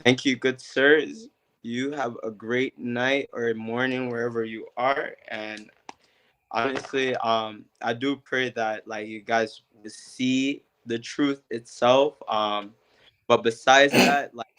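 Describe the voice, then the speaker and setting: A man calling into a stream, speaking politely and hesitantly with many pauses and fillers. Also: mid-range voice, fairly even intonation, a bit bright, smooth. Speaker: caller. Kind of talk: casual call.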